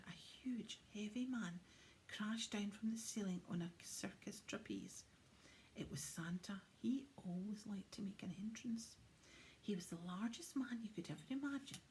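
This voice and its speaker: A woman narrating a children's storybook in an expressive, often hushed and dramatic way. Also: mid-range voice, slight background noise. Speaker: storybook reader.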